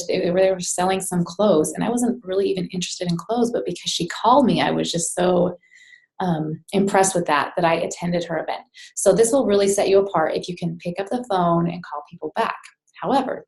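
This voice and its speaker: Female trainer, slightly low voice, conversational and direct, instructional delivery in an echoey room. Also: creaky, a little mellow. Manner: conversational, direct address with rhetorical check-ins. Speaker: sales trainer. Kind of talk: informal instructional presentation. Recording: roomy, echoey sound.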